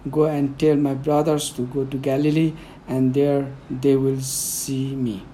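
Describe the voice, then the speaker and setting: Soft-spoken Nepali-accented man. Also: medium pitch, little pitch movement, unhurried, a little hiss or hum. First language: Newari. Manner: soft-spoken, hesitant with fillers. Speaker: guest reader. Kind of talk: conversational interview, then Bible reading.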